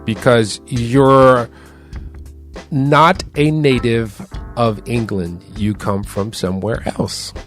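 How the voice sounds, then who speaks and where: A man's voice: mid-range voice, steady tone, slow pace, faint music behind. A man with an American accent, hosting a podcast in a conversational interview style. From United States. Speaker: podcast host.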